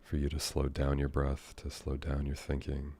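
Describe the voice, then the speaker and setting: An American man leads a guided meditation in an extremely low, dark and relaxed voice. His delivery is almost monotone, with very little rise or fall. He speaks gently and without hurry, leaving long pauses as he improvises his guidance. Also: breathy edge. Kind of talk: guided meditation, soothing and unscripted.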